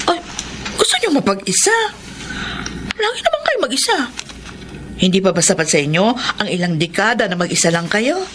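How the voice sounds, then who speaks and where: Noisy radio drama recording of an actress as a middle-aged Filipino housemaid, talkative and good-hearted. Her mid-pitched voice rises and dips freely as she nags and teases. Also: big pitch swings, brisk, long pauses, close to an everyday voice. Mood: cheeky, coaxing.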